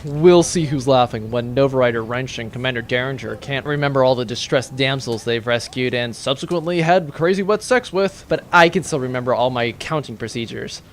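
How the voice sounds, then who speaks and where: A man's voice: medium pitch, brisk, hardly any pauses, slight background noise, natural voice. A young man playing a sardonic, exasperated accountant on a pirate spaceship in a comedy audio drama, grumbling dryly and wordily. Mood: snarky, defensive. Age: young adult. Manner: dry, grumbling, wordy.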